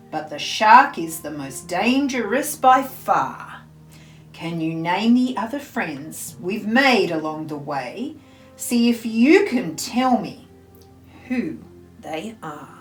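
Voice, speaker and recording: Slow, expressive woman storyteller, echoey room. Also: medium pitch. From Australia. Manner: deliberate, theatrical, addressing small children. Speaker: storyteller, school librarian. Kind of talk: expressive children's picture book reading. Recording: roomy echo, faint music behind.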